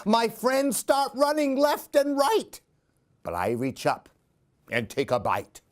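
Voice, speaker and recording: A man reading a children's story aloud in an expressive, theatrical, singsong way. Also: very high voice, slow. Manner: theatrical, singsong rhyming delivery.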